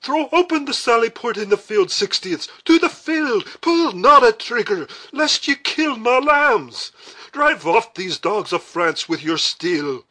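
A man's voice: very high-pitched, sing-song, measured pace, pushed and bright, voice pushed up, heavily acted. A man voicing an old, fierce and emotional military commander and father in an audiobook, with impassioned delivery. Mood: anguished, urgent. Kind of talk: dramatic audiobook character reading.

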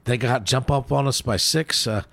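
A man co-hosts a sports show in a mid-pitched voice with a gravelly edge. He talks casually and folksily, wandering into asides. The recording is dry, with very little room sound. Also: fairly even intonation, moderate pace, fairly bright tone, dry recording.

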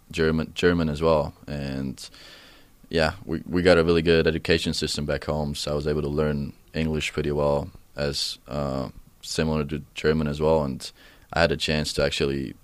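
Very deep-voiced young man. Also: dry recording. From Estonia. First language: Estonian. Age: young adult. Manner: hesitant, many fillers and restarts. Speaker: college soccer player, interview guest. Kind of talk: casual interview answers.

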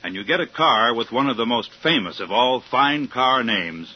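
A man's voice: medium pitch, lively intonation, normal speed, breathy edge. A man delivering a scripted 1950s radio advertisement with folksy, emphatic salesmanship. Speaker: commercial pitchman. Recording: muffled old radio, faint noise.

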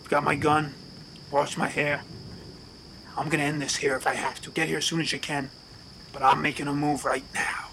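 Man acting an unhinged, high-pitched police sergeant. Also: little pitch movement, normal speed, vocal fry, long pauses, noisy background. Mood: tense, determined. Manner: frantic, rambling, stammering. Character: unhinged obsessive police sergeant hunting a killer, himself deluded. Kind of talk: melodramatic audio drama acting.

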